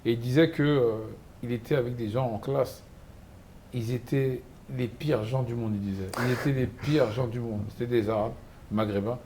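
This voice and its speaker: A man's voice: mid-range voice, moderate pace, slight background noise. Chatty male interview host. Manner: chatty, animated storyteller. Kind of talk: interview, conversational.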